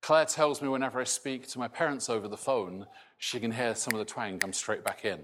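A middle-aged man raised in Scotland, with a softened Scottish accent, preaching a sermon in a slow, halting way with many restarts. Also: mid-range voice, noisy background. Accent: Scottish, largely softened. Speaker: pastor.

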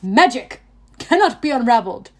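A menacing male Cyclops, put on by a woman reader, thunders his short, broken phrases slowly and loudly. He sounds huge and full of pride in himself. It is a storybook giant, and every word comes out heavy. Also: big pitch swings. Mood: boastful. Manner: broken clipped grammar, slow and booming. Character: huge proud one-eyed male Cyclops, boastful and menacing. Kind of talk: audiobook character reading.

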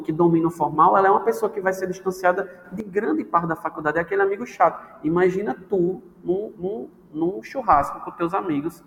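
A lively man from Brazil talks like a teacher who loves language, playfully acting out the voices he quotes. His voice sits high. The sound carries a long reverb, with a faint hiss underneath. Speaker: podcast guest, language teacher. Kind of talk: casual podcast chat.

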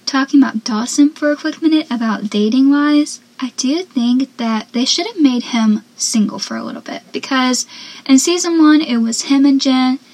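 A seventeen-year-old girl with a soft, young-sounding voice, chatting casually as a podcast host and dropping into whispered asides. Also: high voice, moderate pace, rough recording. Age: teens. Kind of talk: casual solo podcast chat.

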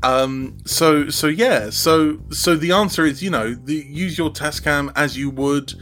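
A man being interviewed as a guest audio drama creator, chatting casually and thinking aloud in a halting way. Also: on the high side, fairly bright tone, soft background music.

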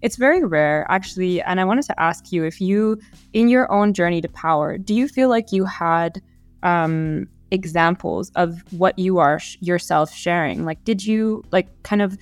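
Lower-voiced woman host asking questions. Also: normal speed, no room sound. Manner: thoughtful, winding questions. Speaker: podcast host. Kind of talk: interview questions.

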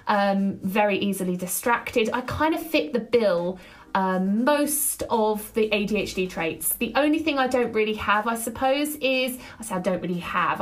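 A chatty woman podcast host with a loud, fairly high voice. She talks on her own in a quick, energetic flow, scattering ums as she goes. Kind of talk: solo podcast monologue.

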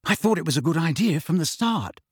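A man's voice: on the high side, big pitch swings, fairly quick, slightly rough, no room sound, clean studio sound, voice pushed up, exaggerated voice. A man voicing a blunt, suspicious teenage boy with a slightly raised voice.

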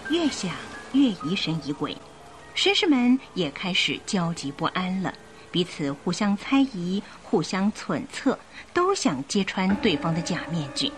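A woman narrating a radio drama in an expressive, suspenseful storytelling style. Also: lowish voice, unhurried. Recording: music bed behind the voice. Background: music.

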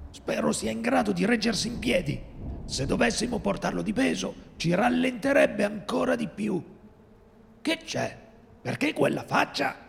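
A man voicing a gravelly protective woodcutter. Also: high voice, sing-song, moderate pace, a little hiss or hum, exaggerated voice. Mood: calling out. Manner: urgent, protective. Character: male woodcutter, protective older brother. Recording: long hall reverb.

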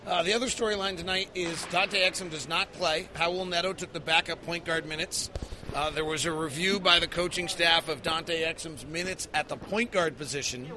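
A man's voice: on the high side, steady tone, moderate pace, noisy background. An American man hosting a basketball post-game podcast, giving emphatic analysis and stressing the key figures. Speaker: sports podcast host.

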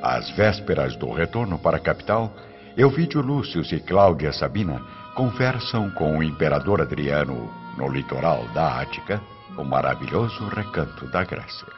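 A man narrating a scripted radio drama in a solemn, measured and expressive way. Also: extremely low pitch. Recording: dull, band-limited radio recording, music bed.

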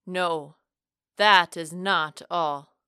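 A woman voicing a reserved, sheepish but earnest man who speaks deliberately and formally in audiobook dialogue. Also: fairly low pitch, steady tone, bright, forceful tone, smooth, no echo, studio quality, full character voice. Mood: reluctant, firm. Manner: deliberate, formal wording without contractions. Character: reserved, sheepish, earnest man pushing away his love.

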